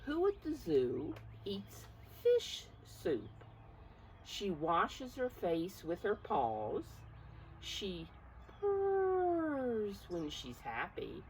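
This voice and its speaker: A woman preschool teacher reading a picture book aloud to young children, expressive and coaxing, often putting questions straight to her listeners. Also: mid-range voice, extremely slow, a little hiss or hum, low-quality recording.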